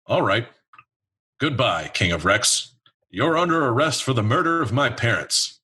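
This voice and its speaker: An actor playing a no-nonsense, wounded detective in a noir radio comedy, earnest and deadpan in his melodrama. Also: mid-range voice, measured pace, slightly rough, full character voice. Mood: defiant. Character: no-nonsense, wounded, half-float detective, male, hardboiled. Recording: music playing behind the voice. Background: music.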